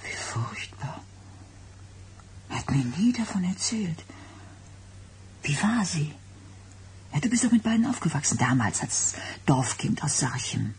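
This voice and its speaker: An actress playing a troubled, insistent wife in a German radio drama, often dropping into a hushed, breathy murmur. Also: female voice, low voice, very animated, unhurried, pauses often, slight background noise. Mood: shaken, probing. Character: troubled, insistent wife probing a hidden family past.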